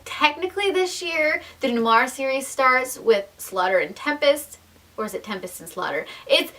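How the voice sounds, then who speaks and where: A woman's voice: on the high side, fairly bright tone. A young adult woman chatting casually to viewers as a book vlogger, enthusiastic and emphatic, with self-deprecating asides.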